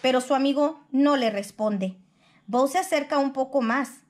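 A woman reading a children's picture book aloud in expressive storybook narration. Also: sits high, normal speed.

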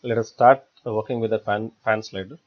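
A man narrating a step-by-step software tutorial in a halting, explanatory way. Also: on the low side, steady tone. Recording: dull lo-fi voice-over recording.

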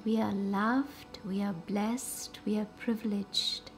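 A woman leads a guided meditation in short, soothing, measured phrases with reverent pauses. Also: medium pitch, fairly even intonation. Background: music.

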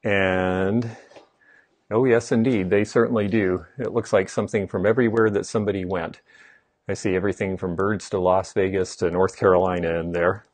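A middle-aged man with a deep, low voice walks through a big indoor antique mall and explains the finds as he goes. He sounds like a knowledgeable dealer chatting casually to a close camera. The sound is dry, with little room echo. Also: steady tone, normal speed, darkish tone. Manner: knowledgeable, explaining items as he browses. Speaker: antique dealer and show host. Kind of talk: casual walkthrough vlog.

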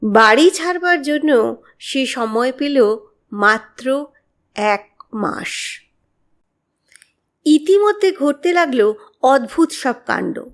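A woman reads a horror story as a narrator, deliberately and expressively, with dramatic pauses. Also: sits high, lively intonation. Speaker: audiobook narrator.

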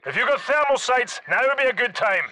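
A man's voice: very high-pitched, lively intonation, a little fast, pushed and bright, a little hiss or hum, heavily acted, rough recording. A man playing a battle-hardened Scottish special forces squad leader in a video game, giving terse, urgent military orders. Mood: brisk, commanding.

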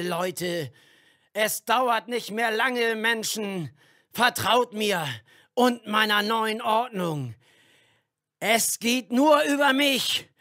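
A man's voice: high voice, sharp tone, no echo, clean studio sound, heavily acted. An actor playing a grandiose, megalomaniac leader declaiming his new world order in a radio play. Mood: imperious.

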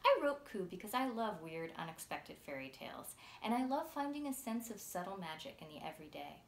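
A woman in her thirties reading a story aloud as its author, in a measured, clearly enunciated way. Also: medium pitch, sing-song. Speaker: author reading from own book. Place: small furnished room indoors. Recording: roomy sound with faint noise.

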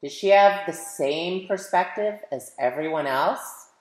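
Echoey, unhurried American woman reading to children. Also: lowish voice. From United States. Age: middle-aged. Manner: animated, emphatic storytelling, addressing young listeners directly. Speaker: children's author reading aloud. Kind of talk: picture-book read-aloud for children, with chatty asides. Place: medium living room at home, indoors. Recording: roomy, echoey home recording.

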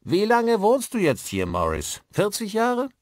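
A jovial village publican in an audiobook, acted by a male narrator. He is loud and familiar, his pitch leaping up and down. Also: huge pitch swings, takes it slowly, vocal fry, slightly rough, dry recording, studio quality, full character voice. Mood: hearty. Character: hearty, helpful village pub landlord, loud teaser.

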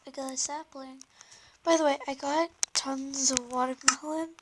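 High-voiced girl-ish woman w a sore scratchy throat, slow umming game chat, half whispers, loud hiss and tapping clicks behind. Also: steady tone. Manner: hesitant, many ums, drifting into soft whispers. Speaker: gameplay commentator. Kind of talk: casual game commentary.

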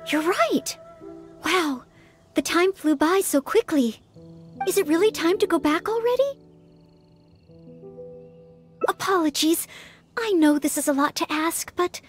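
A very high-pitched young maid from an anime video game who longs for knighthood. She speaks politely and humbly, with a gentle, earnest temper. Faint music plays behind her. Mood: wistful, hesitant.

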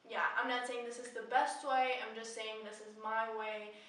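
A woman's voice: a little high, flat delivery, normal speed, a bit bright. A young woman talking casually and earnestly to the camera as a vlogger, rambling a little with heartfelt emphasis. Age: young adult. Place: small indoor room with bare painted walls. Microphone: camera mic at a distance. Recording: echoey room, distant mic, faint noise.

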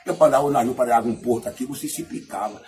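A man with a Brazilian accent telling a comic anecdote in casual chat, excitable and repetitive, acting out sound effects and dialogue. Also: medium pitch, quick pace. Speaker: comic storyteller.

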